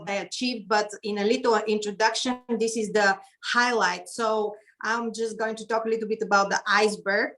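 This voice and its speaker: A middle-aged woman with a Bulgarian accent introduces herself as a guest speaker on a video call, in a slightly halting way. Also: medium pitch, fairly even intonation, a bit bright. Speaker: guest keynote speaker and etiquette consultant. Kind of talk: informal self-introduction speech.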